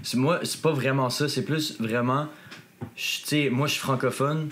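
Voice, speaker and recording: A man's voice: mid-range voice, steady tone, a bit bright, slight background noise. A Québécois man from a punk band, speaking earnestly in a casual interview, full of fillers. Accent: Québécois French. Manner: earnest, filler-heavy, quick bursts. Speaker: punk band member, guest.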